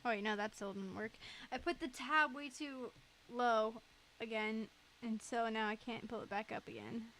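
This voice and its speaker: A woman's voice: on the high side, fairly even intonation, normal speed, fairly bright tone, a little hiss or hum, low-quality recording. A woman livestreamer chatting casually in a giggly, enthusiastic way.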